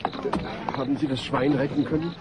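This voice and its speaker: A man's voice: medium pitch, fairly even intonation, normal speed, very noisy, close to an everyday voice. An actor playing a fussy, earnest suburban father in a sitcom audio drama, easily flustered and hesitant. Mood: hopeful.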